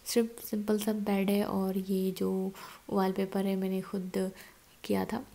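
A woman's voice: mid-range voice, flat delivery, measured pace, gravelly, mellow. A young woman in her twenties chatting casually as she walks a phone camera through her new, empty house. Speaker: vlogger. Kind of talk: casual home tour vlog. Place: empty house with bare rooms, indoors. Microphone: phone camera, at arm's length then handheld while walking.

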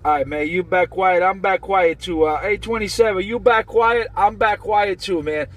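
A man's voice: high voice, moderate pace. A man chatting on a CB radio, slangy and swearing, trading call signs. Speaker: CB radio operator. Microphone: radio transceiver mic. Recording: transmitted over CB radio.

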